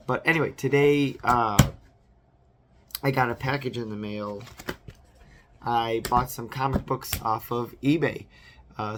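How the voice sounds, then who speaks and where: Hoarse man rambling after a cold. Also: medium pitch, a bit bright. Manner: rambling, many fillers and restarts. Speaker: vlogger. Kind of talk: casual unboxing chat.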